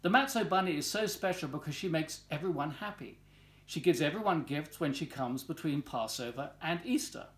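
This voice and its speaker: A man in his sixties reading a children's storybook aloud in an expressive, deliberate storytelling manner, recorded in a living room at home. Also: a little high. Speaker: volunteer story reader.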